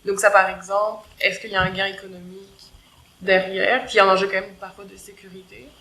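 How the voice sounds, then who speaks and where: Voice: mid-range voice, flat delivery, fairly quick, bright, forceful tone, low-quality recording. A co-host asking a long, thoughtful question in a level way. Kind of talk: casual interview question.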